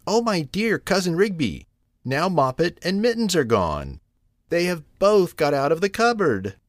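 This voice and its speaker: A fretful mother cat from a children's storybook, voiced by a man, pitched well up. She is tearful and anxious, lamenting as she talks, in a closely recorded, dry sound. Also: very animated, a little slow, no room sound. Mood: distressed.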